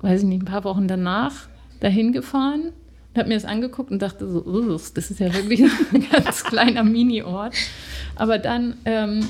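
A woman's voice: medium pitch, normal speed, a little mellow, slight background noise. A middle-aged woman from Germany, a guest telling her story in casual conversation, hesitant with many fillers.

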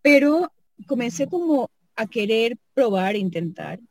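A woman, a sound artist and double bass player, talking casually and reflectively about her work, thinking aloud and often adding tag questions. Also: big pitch swings, unhurried, no room sound.

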